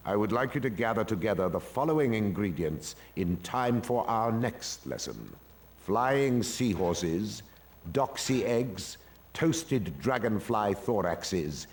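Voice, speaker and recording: A sneering, icy potions master for a video game. He drawls his words slowly in a very deep register, clipped and commanding, as if every pupil bores him. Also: expressive. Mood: cold lecturing. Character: stern cold male potions professor. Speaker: teacher.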